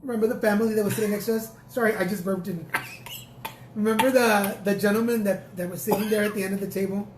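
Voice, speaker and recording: A man co-hosting a casual talk show, laid-back and halting, often restarting his sentences. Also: high voice, fairly even intonation, reverberant, noisy background. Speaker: co-host. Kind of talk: casual chat.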